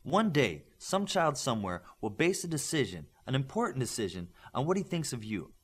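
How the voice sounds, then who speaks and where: A man voicing a stern, principled instructor who lectures young superhero trainees in measured, emphatic audiobook dialogue. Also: mid-range voice, sing-song, husky. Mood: stern, lecturing. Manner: emphatic, didactic, measured lecturing with rhetorical questions.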